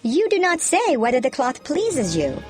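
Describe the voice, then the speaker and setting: A sly thieving weaver from a children's fairy tale, put on by a woman. He is falsely polite and showy, pitched high with animated swings in tone. Music plays behind him. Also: moderate pace. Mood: coaxing. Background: background music.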